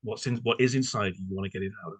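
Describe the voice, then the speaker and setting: A man's voice: fairly low pitch, racing, slightly rough, dry recording. A man hosting a conversational interview, asking wordy, tentative questions in an appreciative way. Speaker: podcast host, interviewer.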